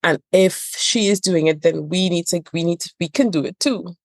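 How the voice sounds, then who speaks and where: Trinidadian woman therapist, deep-leaning voice, emphatic and earnest, dry studio sound. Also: moderate pace, no room sound. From Trinidad and Tobago. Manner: earnest, emphatic, repeats phrases for stress. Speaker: couples therapist and trainer. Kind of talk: reflective podcast interview talk.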